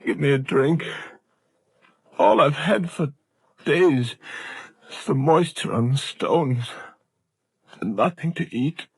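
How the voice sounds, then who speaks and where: An actor playing a starved, parched, wronged middle-aged captive, anguished and melodramatic, in a 1930s radio drama. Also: male voice, fairly high pitch, slow, long pauses, husky, dry recording, full character voice, low-quality recording. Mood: weak, pleading. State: weak and parched. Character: weak, starved, wronged father held captive, defiant.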